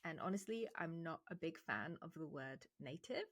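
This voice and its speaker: Woman in her 30s, kinda low voice, chatty, lots of "so" and little laughs. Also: animated, normal speed. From England. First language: Arabic. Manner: chatty, laughs often, frequent "so" and "like". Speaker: medical writer. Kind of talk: casual talk to camera.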